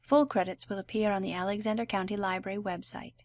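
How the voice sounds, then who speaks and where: A woman's voice: medium pitch, fairly even intonation, quick pace. A woman announcer reading scripted closing credits for a radio drama in a formal announcing manner.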